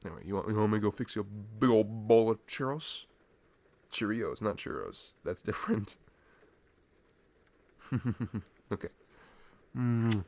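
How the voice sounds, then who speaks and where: A man's voice: lowish voice, unhurried, long pauses, a little mellow. A man chatting informally and intimately as a boyfriend in an ASMR roleplay, rambling and ranting. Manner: informal ranting, breaking into chuckles and sighs. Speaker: audio roleplay performer playing a boyfriend.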